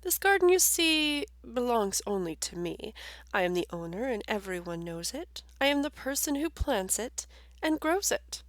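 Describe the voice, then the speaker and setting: A woman's voice: a little high, very animated, moderate pace, no room sound. A woman voicing a proud, possessive gardener in a storybook, firm in tone.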